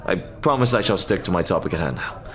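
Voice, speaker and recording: Guy doing a low voiced pirate captain in love, halting and tender, old-timey wording, asmr roleplay. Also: lively intonation, a crowd in the background. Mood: flustered. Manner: halting, earnest, formal old-fashioned phrasing. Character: earnest lovestruck male pirate captain, hesitant and tender. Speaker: audio roleplay performer.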